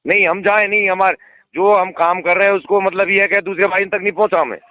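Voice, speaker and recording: A man's voice: sits high, steady tone, a little fast, sharp tone, dry recording. A blunt man, arguing combatively and cutting in during a phone conversation. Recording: phone call recording, dry sound.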